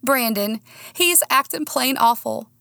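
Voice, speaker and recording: Hot-tempered jilted Texan woman, acted by a female audiobook reader, high and squeaky, wildly swooping pitch. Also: animated, unhurried, vocal fry, bright, forceful tone, no echo, clean studio sound, heavily acted. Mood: hurt, indignant. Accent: Texas. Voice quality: squeaky when worked up. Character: hot-tempered, heartbroken Texan woman, shrill when enraged.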